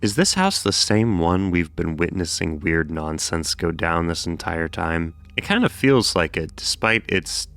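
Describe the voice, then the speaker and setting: A man's voice: low-pitched, lively intonation, unhurried, few pauses, fairly bright tone. A man hosting a horror explainer, mixing scripted narration with casual, wry commentary and jokey, sweary asides. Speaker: host of horror series explainer videos.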